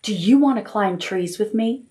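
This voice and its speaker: Young storybook snake, male, eager and dramatic, played by a woman reader, excitable delivery. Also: medium pitch, moderate pace, lightly acted.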